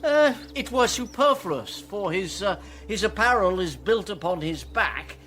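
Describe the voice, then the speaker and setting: Roguish old English knight, high animated delivery, blustering, hesitant, radio drama, music behind. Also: male voice, measured pace. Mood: wry, amused. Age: older. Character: pompous, wheezy, roguish old fat knight. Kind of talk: classical radio drama acting.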